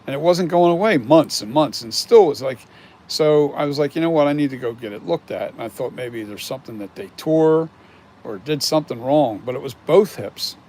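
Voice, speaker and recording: A man's voice: a little high, expressive, moderate pace, mellow, slight background noise. A man in his sixties chatting casually on a stream, rambling and candid, with the odd swear word. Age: 60s. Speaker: mask and prop maker. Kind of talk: casual live-stream chat.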